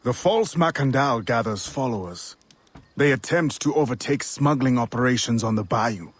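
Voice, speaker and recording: A man voicing a solemn older mentor of a secret order in a video game, speaking in measured, formal phrases with a husky, raspy voice. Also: medium pitch, animated, lightly acted. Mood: measured, commanding. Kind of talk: video game character acting.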